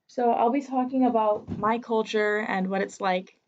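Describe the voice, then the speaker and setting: A woman's voice: on the high side, normal speed, darkish tone, slight background noise. A young American woman hosting a podcast, chatty and enthusiastic in casual talk. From United States. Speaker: podcast host. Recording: dull lo-fi recording.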